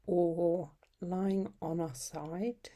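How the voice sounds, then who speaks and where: A woman guides a meditation in a mellow, fairly low voice that is soft, husky and breathy. She speaks very slowly and soothingly, leaving long pauses between short phrases. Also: fairly even intonation. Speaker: yoga nidra instructor.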